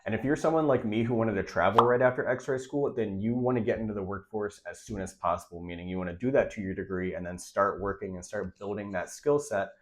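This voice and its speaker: A man's voice: on the low side, a little fast, few pauses. A young man with a stuffy, nasal voice from a recent cold gives direct, emphatic career advice in a casual vlog. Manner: direct, emphatic, repeats key points. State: congested, nasal from a recent illness. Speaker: radiology tech creator giving career advice.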